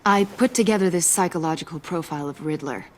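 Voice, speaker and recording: A woman playing a keen, friendly intelligence agent in a video game, husky and breathy, with an inquisitive conversational manner. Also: fairly low pitch, quick pace, slight background noise, natural voice. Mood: businesslike. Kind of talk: video game dramatic acting.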